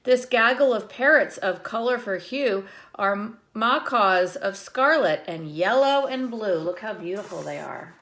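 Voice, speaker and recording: A woman reading a children's picture book aloud like a teacher, with expressive delivery and short explanatory asides to young listeners. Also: medium pitch, a little slow, few pauses.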